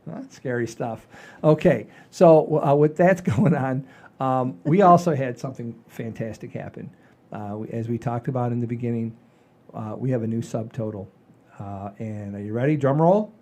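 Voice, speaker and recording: Mellow older man hosting a comedy podcast. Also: medium pitch, moderate pace. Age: 60s. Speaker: podcast host. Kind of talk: casual comedy chat.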